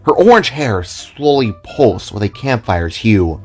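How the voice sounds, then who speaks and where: A man narrating a scripted audio drama in a measured, theatrical storytelling manner. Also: lowish voice, sing-song, soft background music. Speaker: narrator and reader of all parts.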